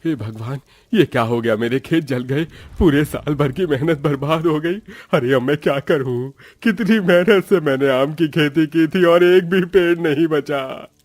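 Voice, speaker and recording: A man's voice: a little high, unhurried, few pauses, darkish tone, no echo. A man voicing a poor, despairing farmer in a village story, lamenting as one who is ruined. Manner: wailing, lamenting.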